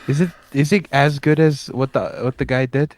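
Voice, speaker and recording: A man's voice: mid-range voice, steady tone, normal speed, no room sound. A young man joining by call as a guest, chatting casually with a dry, teasing humor.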